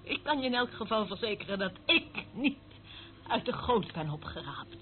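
An actress playing a haughty, prim, morally outraged general's daughter in a Dutch radio drama, speaking reproachfully. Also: female voice, a little high, very animated, normal speed. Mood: indignant. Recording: tinny, narrow-band old radio recording, faint noise.

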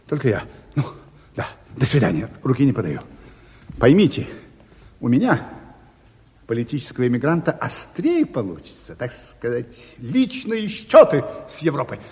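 An ailing, fervent revolutionary intellectual in a radio play. The voice is very husky and halting, with lively swings of pitch. Long reverb surrounds it. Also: male voice. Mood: exhausted, insistent. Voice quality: husky, breathy. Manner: agitated, halting, insistent. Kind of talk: Soviet radio drama acting.

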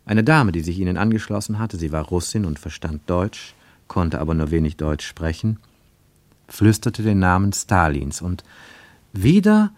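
A man reading a literary story as a scripted radio narration, with expressive, dramatic phrasing. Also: deep voice, moderate pace, no echo.

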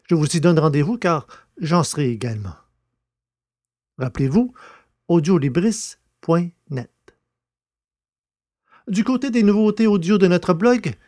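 Man, slightly high voice, podcast host, audiobook narrator, scripted delivery. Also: a little mellow.

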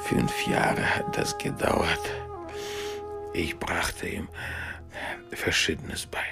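An actor playing a weary Russian former labour-camp prisoner in a radio drama, brooding and halting, with breath in his voice. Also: low-pitched, steady tone, gravelly, full character voice. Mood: mournful, remembering. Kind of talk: German radio drama acting. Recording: music behind the voice.

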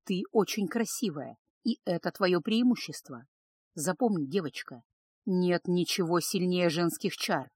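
A woman voicing a world-weary, consoling harem woman in an audiobook, gentle and measured. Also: fairly low pitch, expressive, husky, dry recording. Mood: reassuring, firm.

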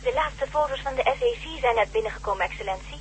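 An actress playing a brisk young secretary in a radio drama, reporting in a dutiful way. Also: female voice, fairly high pitch, slight background noise, natural voice, poor audio. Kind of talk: 1970s radio drama acting.

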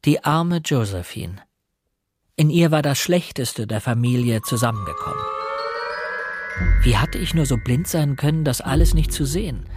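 Young male narrator, radio drama, reflective, measured, earnest, medium pitch, music behind. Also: expressive, darkish tone, breathy edge. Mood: rueful. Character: reflective young man narrating a family murder case. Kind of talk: scripted first-person radio drama narration.

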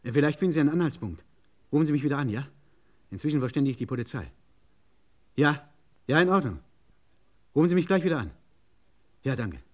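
Radio crime play: anxious garage mechanic, fairly high voice, insistent, halting, urgent. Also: male voice, sing-song. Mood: pressing, urgent. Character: agitated male car mechanic warning a customer's wife. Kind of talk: mid-century German radio crime drama acting.

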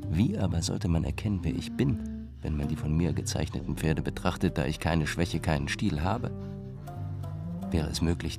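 An actor playing a secretive, brooding illustrator, narrating in measured literary phrases in a radio play. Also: male voice, rumbling low. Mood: pensive, uneasy. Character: troubled male manuscript illustrator, secretive, brooding storyteller. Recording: loud plucked-string music behind voice.

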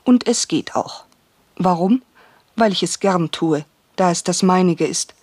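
Husky, embittered housewife. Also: female voice, lowish voice, a little slow, a little mellow. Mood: resentful, resolute. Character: former maid turned hard-working, embittered housewife. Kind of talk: radio play monologue.

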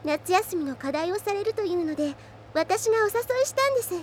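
A woman's voice: very high-pitched, animated, fairly quick, slight background noise. A woman playing a sweet, modest and very polite teenage demon princess in an anime audio drama. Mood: polite, happy.

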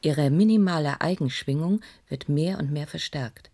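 Tired shaken woman scientist, breathy husky voice, hesitant, earnest, radio drama. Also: low voice, moderate pace, mellow. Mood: subdued, explanatory. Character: weary, shaken female researcher recounting a disaster. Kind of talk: German radio play acting.

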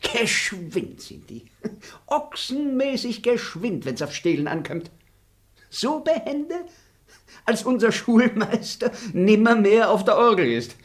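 A sly, fawning bailiff for a radio play. His voice sits fairly high and leaps up and down as he wheedles and giggles. He stays pushy and theatrical throughout. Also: sing-song, takes it slowly, exaggerated voice. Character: sly fawning bigoted male estate bailiff. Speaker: estate bailiff. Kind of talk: German radio play acting.

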